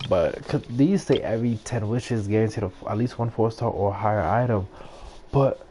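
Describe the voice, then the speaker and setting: A man's voice: fairly low pitch, normal speed, warm tone, slight background noise. A young man giving casual, slangy, rambling commentary as he streams a game. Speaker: gaming streamer. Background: game music.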